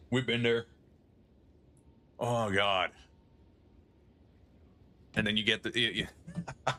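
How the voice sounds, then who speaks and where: A man's voice: mid-range voice, pushed and bright. A male streamer chatting casually on a livestream, rambling, swearing and chuckling often.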